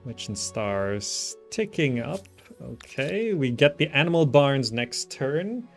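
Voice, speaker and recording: A man talks through a strategy game in a casual, thinking-aloud way. His voice sits at an average pitch for a man, and he often trails off mid-thought. Music plays behind his commentary. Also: sing-song, slow pace, vocal fry. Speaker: gaming video host. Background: background game music.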